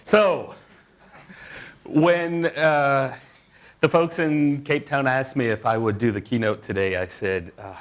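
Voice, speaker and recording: A man giving a conference keynote as an agile coach, speaking in a relaxed, conversational way. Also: medium pitch, takes it slowly. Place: conference hall.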